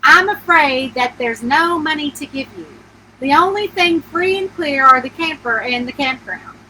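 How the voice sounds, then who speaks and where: A woman voicing a matter-of-fact male lawyer in a story reading, deliberate and unsympathetic. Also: sits high, pushed and bright, poor audio. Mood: apologetic. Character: Stanley, matter-of-fact male lawyer delivering bad news.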